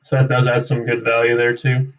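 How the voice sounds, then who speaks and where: A man's voice: mid-range voice, monotone, fairly quick. A young man chatting casually and off the cuff on a livestream, rambling and thinking aloud. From Canada. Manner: rambling, thinking aloud, tags sentences with "right?". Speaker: realtor hosting a live cold-calling stream. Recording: distant, slightly dull microphone.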